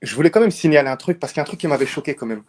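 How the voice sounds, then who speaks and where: A man's voice: a little high, expressive, a little mellow. A man calling into a live discussion, speaking informally with slang and repeating himself as he pushes his point. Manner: fast, informal slang, repeats words when pressing a point. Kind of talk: casual debate.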